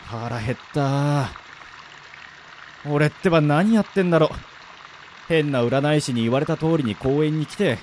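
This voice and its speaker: A man's voice: on the high side, a little slow, pauses often, darkish tone, slight background noise, close to an everyday voice. Man acting a grumbling, blunt young guy. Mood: puzzled, muttering. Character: grumbling, unlucky, skeptical casual young man. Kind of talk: Japanese radio drama acting with monologue.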